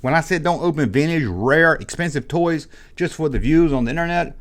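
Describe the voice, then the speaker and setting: Hoarse man ranting bluntly. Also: a little high, lively intonation, brisk. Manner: exasperated, blunt and colloquial. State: hoarse, losing his voice after illness. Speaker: toy collecting channel host. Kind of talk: casual opinion rant to camera.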